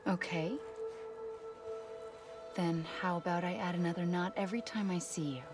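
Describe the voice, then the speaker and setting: An actress playing a tender, earnest woman in a video game cutscene, gentle and affectionate. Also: on the low side, fairly even intonation, moderate pace, close to an everyday voice. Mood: touched, affectionate. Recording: loud music over the voice.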